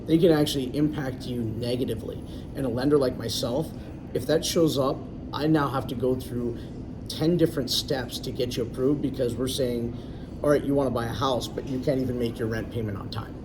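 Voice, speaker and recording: A man's voice: mid-range voice, steady tone, a little fast. A man, a loan originator, explaining things in a confident, chatty way as a guest. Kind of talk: casual interview. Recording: distant mic, clear background noise.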